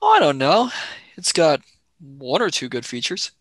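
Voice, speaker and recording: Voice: mid-range voice, wildly swooping pitch, a little slow, dry recording. Dry-recorded, wry young male adventurer, acted out. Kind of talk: radio play acting.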